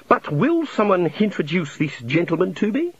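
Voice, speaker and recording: A man doing a stiff proper housekeeper lady, sharp and careful, husky, dry dull sound. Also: sits high, sing-song, moderate pace, dry recording, voice pushed up, full character voice. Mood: prim. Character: prim, stiff female housekeeper, middle-aged. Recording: slightly dull, dry audiobook recording.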